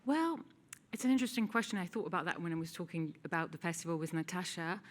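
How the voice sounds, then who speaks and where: A woman in her thirties from France, with a French accent, speaking on a panel in a measured, thoughtful way. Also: lowish voice. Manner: measured, thoughtful, lots of "you know". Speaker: journalist and lecturer. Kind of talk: panel discussion. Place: small event hall with audience. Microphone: table mic.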